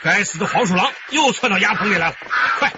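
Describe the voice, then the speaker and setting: An actor playing an anxious older village father who frets and shouts orders. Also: high voice, animated, fast, very noisy. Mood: alarmed. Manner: agitated, fretful, shouting orders. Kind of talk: broadcast radio drama acting. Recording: dull lo-fi broadcast audio.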